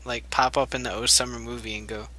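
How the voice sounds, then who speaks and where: A man's voice: medium pitch, level tone, quick pace, pushed and bright, dry recording. A man co-hosting a casual fan podcast, speculating eagerly and rambling about what might happen. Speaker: podcast co-host.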